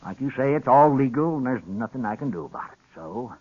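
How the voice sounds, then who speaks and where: An actor playing an aging, stubborn frontier rancher father with an American accent and halting, folksy speech in a 1950s radio western. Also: medium pitch, animated, husky. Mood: grimly resolved. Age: older. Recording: dull old radio broadcast recording.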